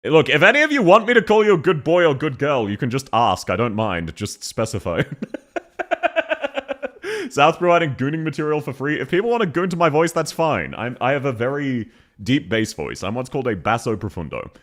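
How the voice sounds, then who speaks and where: A young Australian man streaming live, chatting casually with his viewers. His voice sits at a middling pitch but jumps around a lot, and he talks in a playful, rambling way, always drifting off topic. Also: big pitch swings, fairly quick, a bit bright. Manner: playful, laughs often, chatty and easily sidetracked.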